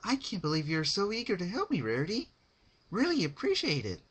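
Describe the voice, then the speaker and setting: Male narrator as female party pony, cartoon fan fiction, high pitch, swooping, excitable, giggly, faintly menacing. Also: fairly quick, breathy edge, full character voice. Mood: giddy.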